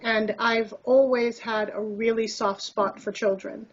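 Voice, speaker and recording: A woman's voice: fairly high pitch, fairly even intonation, a little slow, muffled recording. A woman hosting a conversational interview, phrasing her questions carefully and reflectively. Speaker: interview host.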